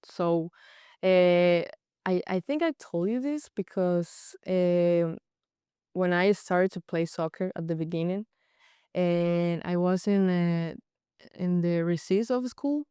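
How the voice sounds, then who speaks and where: Soft-spoken young Colombian woman. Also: lowish voice, clean tone, no echo, studio quality. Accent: Colombian Spanish. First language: Spanish. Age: young adult. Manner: halting non-native English with restarts. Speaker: guest, college goalkeeper. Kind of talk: casual interview chat.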